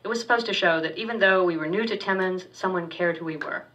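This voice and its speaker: A woman author reading her first-person fiction aloud at a public university reading, steady and wry with small stumbles. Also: lowish voice, quick pace. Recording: distant dull lo-fi recording.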